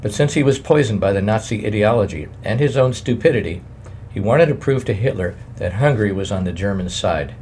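A man reading a wartime memoir aloud in a plain, measured way, adding his own editor's notes. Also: lowish voice, animated, a little mellow, a little hiss or hum.

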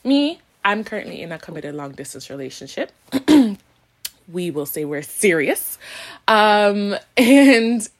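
A woman's voice: medium pitch, very animated, slow. A woman in her late twenties from Canada, co-hosting a podcast in a casual, playful, sassy conversational style full of slangy asides and repeats. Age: late 20s. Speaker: podcast co-host. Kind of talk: casual self-introduction, conversational podcast talk.

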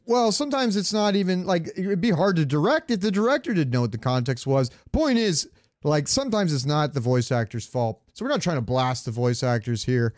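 A man talks casually as a podcast co-host. His voice sits a bit high, and the recording is dry and close. He rambles loosely from thought to thought. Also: lively intonation, fairly quick, darkish tone, no echo. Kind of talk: casual chat about game voice acting.

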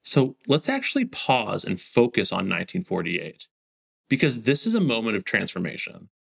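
A man's voice: fairly low pitch, wildly swooping pitch, normal speed, creaky, a bit bright, slightly rough. A man hosting and narrating from a script, posing framing questions. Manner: poses framing questions to the listener. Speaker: host.

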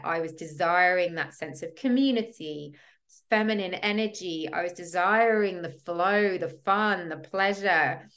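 A woman's voice: fairly low pitch, fairly bright tone. A woman in her thirties from the UK, hosting a podcast and telling her personal story in an earnest, reflective way. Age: 30s. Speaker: podcast host, women's coach.

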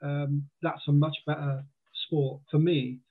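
A man's voice: fairly high pitch, little pitch movement, a little slow, background noise. A man from Wales with a mixed Welsh and southern English accent, chatting casually as a podcast guest and often restarting his sentences. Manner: chatty, many restarts and acted quotes. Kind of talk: casual chat. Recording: tinny phone-like line.

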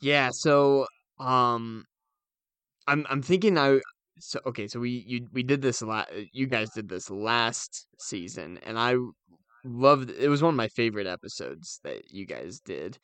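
A man's voice: medium pitch, animated, a little slow, fairly bright tone, smooth, no room sound. A man co-hosting a podcast, chatting casually and joking around. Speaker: podcast co-host.

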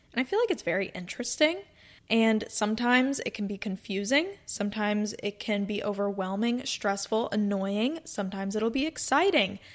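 A woman in her twenties hosting a casual solo podcast, chatting in a rambling, upbeat way from a cozy room. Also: mid-range voice. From North Carolina, US. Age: 20s. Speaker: podcast host.

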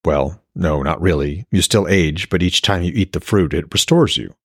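A man voicing a gentle, reassuring male hero in a fantasy audiobook, with a soft, coaxing manner. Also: low voice, normal speed, breathy edge, no room sound, clean studio sound. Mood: concerned, earnest. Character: gentle, reassuring blind god of Norse fantasy.

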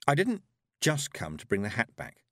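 A man's voice: mid-range voice, huge pitch swings, normal speed, a bit bright, no echo, clean studio sound, pitched above the performer's own voice, full character voice. A man voicing a plucky, clever young apprentice witch, an earnest and direct girl in a storybook reading. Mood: polite.

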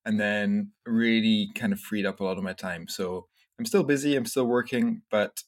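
A man in his thirties, born in Scotland and raised in France, speaking in a somewhat low voice. He answers interview questions casually and reflectively, leaning on fillers like "you know" and "like" as he thinks aloud. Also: moderate pace. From Scotland, grew up in France. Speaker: podcast guest, entrepreneur.

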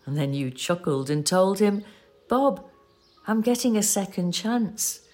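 A woman acting in an audio play as a devoted, patient partner, gently retelling a cherished love story at a measured, storytelling gait. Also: medium pitch, animated. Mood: tender, amused.

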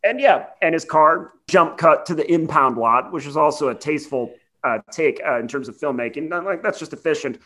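A man co-hosting a podcast, giving sardonic, halting, profane asides in casual movie banter. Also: fairly high pitch, fairly bright tone. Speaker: podcast co-host.

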